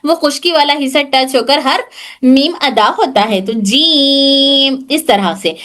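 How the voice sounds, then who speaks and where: Woman teacher, high-pitched, patient, checks in often. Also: slow pace, fairly bright tone. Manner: patient, repeats points and checks if students follow. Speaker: Tajweed teacher. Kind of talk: online class lesson.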